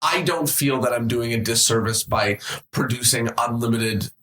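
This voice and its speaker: A man's voice: mid-range voice, moderate pace, a bit bright. Young man in an echoey room. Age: 20s. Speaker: podcast host. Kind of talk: casual podcast discussion. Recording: roomy, echoey sound.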